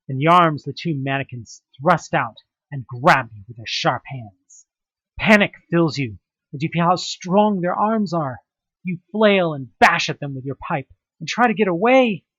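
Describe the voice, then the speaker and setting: Male horror audio-drama narrator, theatrical and very animated, slightly husky, on a dull home recording. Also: on the high side, dry recording. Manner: theatrical, expressive delivery. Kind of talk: dramatic second-person scripted narration of a horror story.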